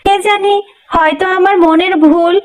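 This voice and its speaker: A woman's voice: very high-pitched, steady tone, moderate pace. A woman voicing an anxious, deferential graveyard watchman in a cartoon story, earnest and puzzled in delivery.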